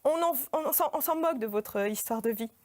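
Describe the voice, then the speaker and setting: A haughty art-world snob acted out by a woman in a satirical stage piece. The voice sits fairly high and lectures a young artist with condescending, dismissive fussiness. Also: expressive, a little fast, a little mellow, close to an everyday voice.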